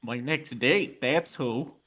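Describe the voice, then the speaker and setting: Man putting on a high, brash young scout. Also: takes it slowly, fairly bright tone. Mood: cocky. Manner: brash, mouthy, leering wisecracks.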